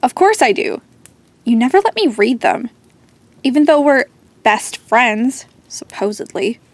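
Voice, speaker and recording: A woman's voice: on the high side, big pitch swings, a little slow, no echo, full character voice. An actress playing a sarcastic, suspicious teenage girl who needles her best friend in a radio drama. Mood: needling. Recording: music under the dialogue.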